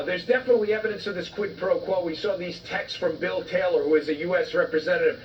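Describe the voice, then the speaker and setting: A high-pitched man gives forceful, argumentative commentary as a news guest. The sound is dull and distant, as if picked up away from the mic. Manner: emphatic, argumentative. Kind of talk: live news interview. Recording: muffled, distant-sounding, faint background noise.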